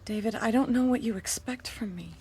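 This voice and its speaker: An actress playing an anxious young heiress and dutiful daughter in a radio drama, earnest and hesitant. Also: female voice, on the high side, a little fast, a little mellow, slight background noise, close to an everyday voice. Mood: distressed, pleading. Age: young adult.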